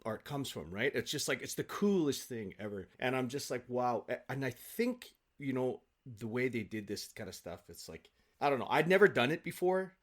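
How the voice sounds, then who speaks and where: A man talking as an interview guest, an actor who rambles excitedly and repeats himself for emphasis in casual chat. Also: mid-range voice, moderate pace, hardly any pauses.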